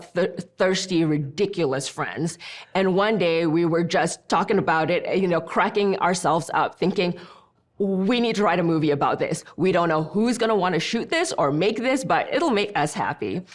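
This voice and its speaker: Low-voiced middle-aged woman, from malaysia, chatty director in a studio interview. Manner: animated, gestures as she talks, easy conversational flow. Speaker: film director. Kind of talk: behind-the-scenes interview.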